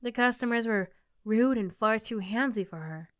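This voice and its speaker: High-voiced woman narrating a roleplay story. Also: normal speed, no echo, studio quality. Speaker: ASMR roleplay performer and narrator. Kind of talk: scripted second-person story narration.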